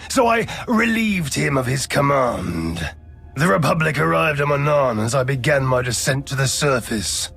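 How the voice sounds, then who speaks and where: An actor playing a haughty, wrathful Sith lord in a video game, with an imperious, menacing, theatrical delivery over music. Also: male voice, mid-range voice, sing-song, moderate pace, gravelly, a bit bright, husky, heavily acted. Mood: scornful, angry. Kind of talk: dramatic video game acting.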